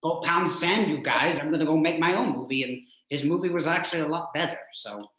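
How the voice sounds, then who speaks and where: A man with a slightly higher-pitched voice hosts a casual interview. He rambles haltingly, restarting and repeating words as he shapes his questions. The sound is clearly echoey and roomy. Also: a bit bright. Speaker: show host, interviewer.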